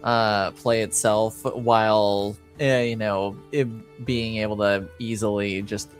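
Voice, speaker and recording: A man narrating a tabletop roleplaying game as game master, speaking casually and haltingly as he improvises. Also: fairly low pitch, little pitch movement, faint music behind. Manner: halting, hesitant, casual phrasing.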